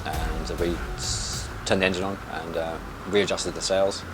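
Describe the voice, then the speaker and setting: A man's voice: deep voice, flat delivery, unhurried, over loud music. A man, a sailing instructor, explaining in an interview with occasional fillers.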